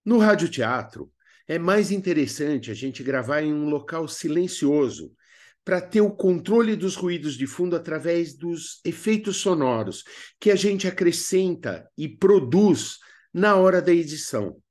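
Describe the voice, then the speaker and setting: A man's voice: on the high side, lively intonation, a little slow, darkish tone. A man with a Brazilian accent presenting an instructional lesson in a didactic way, as a workshop teacher. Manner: didactic, asks rhetorical questions and answers them. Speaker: radio theatre workshop instructor.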